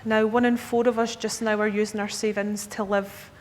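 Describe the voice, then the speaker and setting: A woman's voice: flat delivery, normal speed. A Scottish woman in her thirties giving a conference presentation to advisers, chatty, often checking in with the audience. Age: 30s. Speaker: key account manager presenting to advisers. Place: conference hall. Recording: echoey hall with faint rumble.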